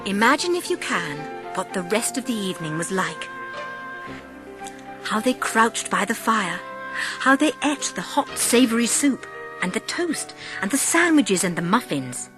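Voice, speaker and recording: A woman narrating a dramatised storybook as an audiobook, with expressive, measured phrasing. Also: mid-range voice, music in the background.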